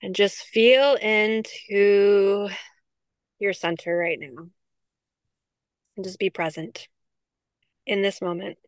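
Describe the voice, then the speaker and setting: A woman co-hosting a podcast with a smooth, clear voice, recorded close and dry. She talks in a bubbly, rambling way full of "like" and "um", then slows down to guide a breathing exercise. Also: mid-range voice, no room sound. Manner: bubbly, lots of fillers, leads a calm breathing exercise. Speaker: podcast co-host. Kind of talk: casual chat.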